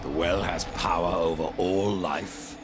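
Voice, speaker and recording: An actor playing a grim, brooding undead horseman warrior in a dark fantasy game, terse and grave. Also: low voice, steady tone, a little slow. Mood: wary, dry. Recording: loud background music under the voice.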